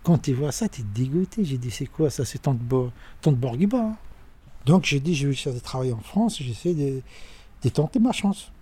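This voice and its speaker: A man in his sixties with a Tunisian accent, telling stories about his childhood in a halting, animated way. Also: breathy edge. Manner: animated, halting, with sudden pitch jumps. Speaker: interviewee. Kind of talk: personal storytelling.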